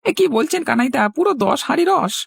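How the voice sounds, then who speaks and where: A man's voice: very high voice, expressive, fast, creaky, dry recording, clean studio sound, exaggerated voice. A man voicing a timid, obedient young male helper in a cartoon, speaking hesitantly and deferentially. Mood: meek, flustered. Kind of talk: cartoon voice acting.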